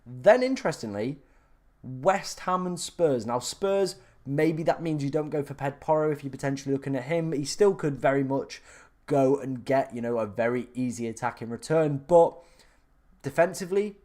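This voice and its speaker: A young man from England talks loosely and chattily to a live audience at a steady middle pitch. He reads questions aloud and thinks out loud, full of fillers and quick restarts. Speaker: fantasy football streamer answering live chat. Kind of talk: casual live-stream chat.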